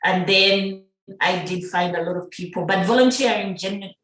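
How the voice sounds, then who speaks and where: A middle-aged woman from Uganda, chatting as a podcast guest, animated and laughing often. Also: mid-range voice, normal speed. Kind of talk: casual conversational interview. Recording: echoey room.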